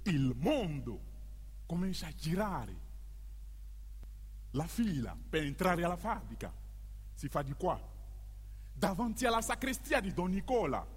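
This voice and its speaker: An actor plays an ironic male stage storyteller with a high, husky voice. He declaims slowly, with emphatic pauses and huge swings of pitch. The sound rings in a long hall reverb. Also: sing-song, full character voice. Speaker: stage narrator. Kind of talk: theatrical monologue for radio theatre.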